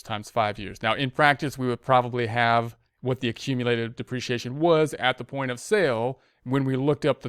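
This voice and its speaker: A man's voice: medium pitch, a bit bright, smooth, dry recording, clean studio sound. A man giving a step-by-step spreadsheet tutorial as an instructor, thinking aloud and often repeating his phrases. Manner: repeats phrases while working through steps. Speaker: accounting instructor.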